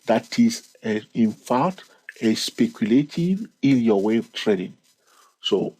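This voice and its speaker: A man's voice: medium pitch, a little mellow, slightly rough. A man hosting his channel, walking through a chart lesson, stretching key words and repeating counts. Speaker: trading educator and channel host. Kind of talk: screen-recorded chart lesson.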